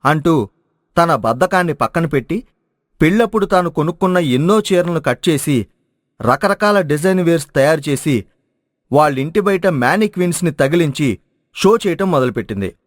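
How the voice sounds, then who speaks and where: Higher-pitched man narrating a story. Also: lively intonation, moderate pace, dry recording, studio quality. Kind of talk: scripted moral story narration.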